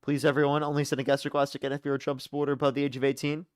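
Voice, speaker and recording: A young man hosts a live call-in debate stream, rattling through callers at a rapid clip. His voice sits close and dry, with no room sound around it. He moves briskly from one caller to the next and drops sarcastic asides along the way. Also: medium pitch, monotone, smooth, no echo. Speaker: livestream debate host.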